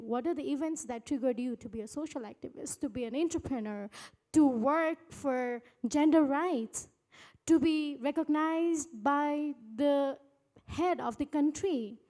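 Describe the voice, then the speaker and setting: A woman's voice: high-pitched, dark tone. A woman moderating a live panel, addressing the speakers and putting questions to them.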